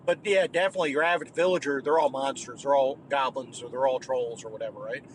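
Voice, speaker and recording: A man leaving a voicemail for a gaming podcast, talking haltingly with many restarts and tag questions. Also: mid-range voice, steady tone, a little hiss or hum, low-quality recording. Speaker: caller. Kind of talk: voicemail message.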